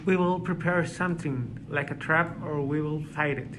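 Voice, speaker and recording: Reassuring beast hunter, acted by a man: fairly high male voice, radio play, faint noise. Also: moderate pace, lightly acted. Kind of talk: amateur radio drama acting.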